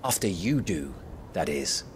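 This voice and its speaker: An actor playing a stern, terse soldier in a fantasy video game. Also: male voice, low voice, sing-song, a little slow, background noise. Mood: dry. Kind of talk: cinematic fantasy game acting.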